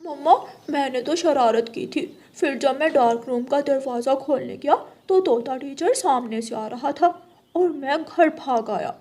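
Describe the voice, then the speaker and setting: Woman as high-voiced mischievous boy crow. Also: unhurried, warm tone. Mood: evasive, guilty.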